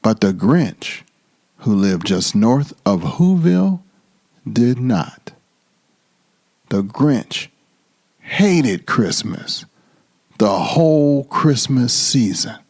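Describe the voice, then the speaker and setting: Male storyteller for children's book, theatrical emphasis, very expressive pitch, unhurried delivery. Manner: theatrical, drawn-out emphasis and repetition. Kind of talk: dramatic children's storybook reading.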